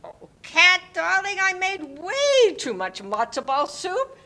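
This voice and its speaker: A woman's voice: extremely high pitch, wildly swooping pitch, slow, bright, forceful tone, exaggerated voice. A woman playing a nosy, doting older neighbor in a dramatic play reading, gushing theatrically with endearments. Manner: gushing, theatrical, calls people dear and darling.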